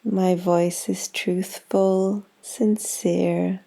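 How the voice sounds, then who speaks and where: A woman guiding a yoga nidra meditation in a calm, soothing, unhurried manner with long pauses. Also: fairly low pitch, creaky. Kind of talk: guided meditation.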